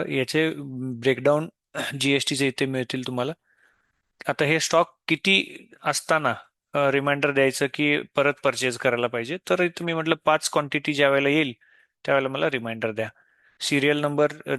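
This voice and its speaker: A man explains software step by step in an unscripted tutorial, often posing questions and answering them himself. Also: mid-range voice, dry recording. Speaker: software demo presenter.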